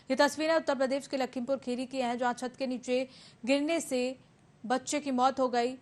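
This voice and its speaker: A woman anchor narrating a television news bulletin in a formal scripted style. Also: high voice. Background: background music.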